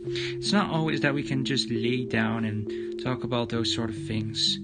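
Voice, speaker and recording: A man's voice: mid-range voice, a little slow, rough recording. Man reading visual novel narration, music behind. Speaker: streamer reading narration aloud.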